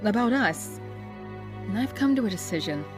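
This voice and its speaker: A woman's voice: medium pitch, expressive, normal speed, music in the background, close to an everyday voice. A woman playing a sincere, apologetic young love interest in a comic dub, earnest and dramatic. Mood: earnest, confessional.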